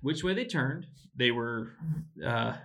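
A man's voice: medium pitch, animated, slow pace, a bit bright, slight background noise. A man casually discussing a Bible story, laughing often and restarting his sentences as he goes. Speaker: podcast co-host.

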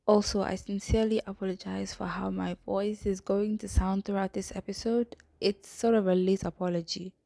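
A young woman in her twenties with a cold, talking casually on a faith podcast, rambling and apologetic and often sinking into a breathy near-whisper. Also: medium pitch, fairly even intonation, normal speed, few pauses, warm tone, no room sound. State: has a cold. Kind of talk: casual testimony podcast talk.

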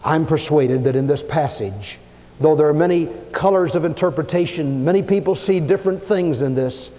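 A man preaching a sermon, emphatic, swinging his pitch and loudness as he acts out the people he quotes. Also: normal speed. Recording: long hall reverb.

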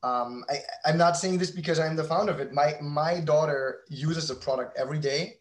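Man in his thirties, slightly high voice, casual interview guest, candid, rambling, self-correcting. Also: a little fast. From outside the United States. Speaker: guest, company founder and father.

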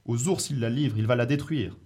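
A man's voice: medium pitch, brisk, a little mellow, lightly acted. A man voicing frightened town elders in a folk tale, pleading urgently. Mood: anxious pleading.

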